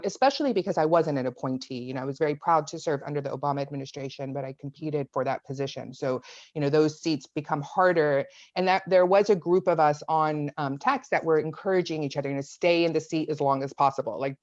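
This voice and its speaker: A woman from South Carolina giving her views as a guest in a political discussion, earnest and measured, over a video call. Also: deep voice, darkish tone, no room sound, dull audio. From United States (South Carolina).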